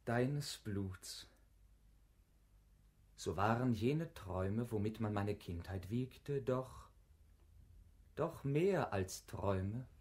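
An actor playing a proud, hot-headed young knight templar in a classical radio play, declaiming impetuously. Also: male voice, on the low side, expressive, very slow, pauses often, darkish tone. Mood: moved.

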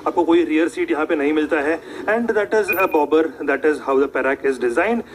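A young man walking around a motorcycle outdoors, listing specs quickly in a casual presenter manner. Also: fairly high pitch, slight background noise. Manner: quick, tech-spec listing, mixing English. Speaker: bike reviewer in a played clip. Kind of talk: fast Hinglish bike walkaround review.

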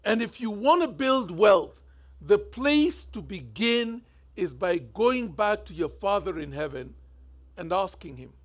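Kenyan male bishop, 50s, high voice, chatty storytelling sermon. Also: very animated, a little slow. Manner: conversational storytelling, gestures freely. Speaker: bishop preaching. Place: church stage indoors.

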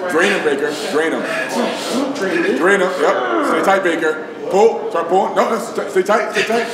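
A man gives short, casual coaching cues as a training partner. His voice is a little high, echoing through a gym with clear chatter behind it. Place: large hard-walled gym. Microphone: camera a few metres away. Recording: echoey gym, voices in background.

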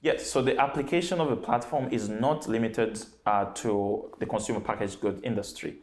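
A man's voice: medium pitch, steady tone, moderate pace. A young man, a startup founder, answering questions in an office, with frequent restarts and fillers, on a lapel mic. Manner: hesitant, many restarts and fillers. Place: bright office with plants.

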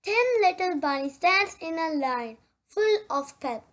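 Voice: extremely high pitch, lively intonation, measured pace, a bit bright. A child storyteller reading a picture book aloud, exclaiming the sound words dramatically.

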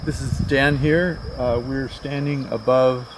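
A man's voice: mid-range voice, a little slow, noisy background. A middle-aged man giving a casual, rambling tour of an island as a travel vlogger. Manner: relaxed, rambling tour-guide talk. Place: outdoors on a green hillside.

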